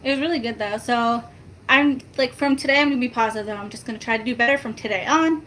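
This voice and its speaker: Chatty soft-spoken woman. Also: quick pace, a little hiss or hum. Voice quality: soft-spoken, high-pitched. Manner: chatty, rambling, self-mocking, laughs often. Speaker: family vlogger. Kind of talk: casual chat to viewers.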